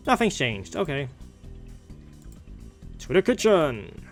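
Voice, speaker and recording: Animated game streamer with music behind. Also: mid-range voice, slow pace. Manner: animated, voices the characters while reading. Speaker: gaming video host. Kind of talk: gaming let's play, reading visual novel dialogue aloud.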